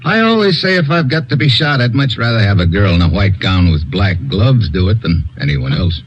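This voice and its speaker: A wisecracking tough agent. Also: male voice, on the low side, very animated, brisk. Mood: wry. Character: wisecracking tough male agent, middle-aged. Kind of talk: 1950s radio drama acting. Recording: dull old radio broadcast.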